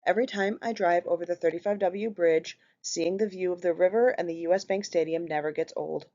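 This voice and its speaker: Low-voiced Minnesotan woman reading a presentation. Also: steady tone, fairly quick, darkish tone. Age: 30s. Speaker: student presenter. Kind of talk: read class presentation. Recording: slightly muffled lo-fi recording.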